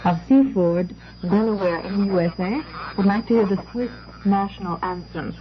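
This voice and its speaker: A woman's voice: mid-range voice, normal speed, highs cut off, crowd noise. A woman presenting on 1960s shortwave radio in the announcing style of an international broadcast. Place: radio studio, heard over shortwave.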